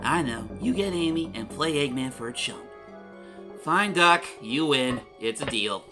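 A man plays a quick-witted young male hedgehog hero in a comic dub. He is cocky and teasing, moving freely around a middling pitch. Music plays clearly behind the voice. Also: expressive, natural voice. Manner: breezy, teasing.

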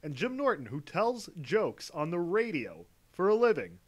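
A man's voice: high voice, huge pitch swings, moderate pace. A man giving a sarcastic commentary as a video essay host, dry and mocking.

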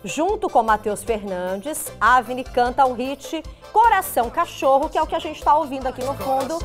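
A middle-aged woman gossips on a studio news set in a fairly high, lively voice. She teases her co-host and jumps in fast. Faint music plays underneath. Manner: chatty, teasing, quick to react. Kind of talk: lively celebrity gossip chat.

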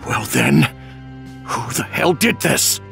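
An actor playing a tough alien warrior in an anime game, gravelly, blunt and urgent. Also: male voice, mid-range voice, animated, slow, fairly bright tone, soft background music, exaggerated voice. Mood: urgent, demanding. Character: tough, demanding male alien warrior, Saiyan fighter. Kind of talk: dubbed anime game acting.

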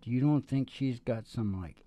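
A man's voice: medium pitch, moderate pace, warm tone. An older man hosts a music show from a small stage, talking into a microphone over acoustic guitar. He rambles casually and jokily, in an ordinary mid-range male voice. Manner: rambling, jokey, with frequent chuckles. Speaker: host of a music podcast and singer. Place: small curtained indoor stage. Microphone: stage microphone on a stand.

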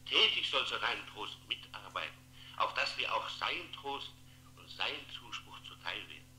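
An actor playing an earnest Protestant preacher, solemn and declamatory, heard through a telephone in a 1960s radio play. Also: male voice, medium pitch, big pitch swings, normal speed, pushed and bright. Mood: solemn exhorting. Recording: echoey, noisy, humming telephone line.

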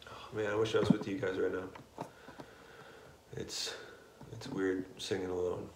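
A man talks casually in a low voice with an even, narrow range. The recording is echoey and clearly noisy. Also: a little slow. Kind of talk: casual aside to camera. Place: living room at home. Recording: roomy, distant, noisy with clicks.

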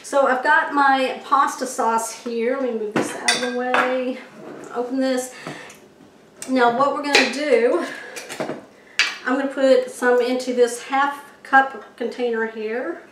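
A woman's voice: high voice, fairly even intonation, takes it slowly. Chatty woman cooking at home. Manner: chatty, folksy, checks in with viewers. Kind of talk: cooking demonstration. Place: home kitchen, indoors. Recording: echoey kitchen with faint noise.

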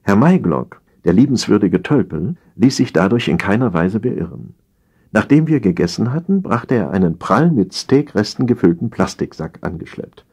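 A man reading a humorous story as a scripted audiobook narration, in an expressive, theatrical storytelling manner. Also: lowish voice, moderate pace, husky.

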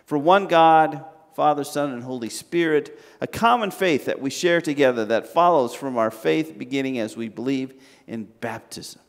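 An older man, a pastor, preaching a sermon from a pulpit in a large church sanctuary, with slow, measured delivery and long pauses. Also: mid-range voice. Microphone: standing at a pulpit, far camera. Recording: reverberant church hall.

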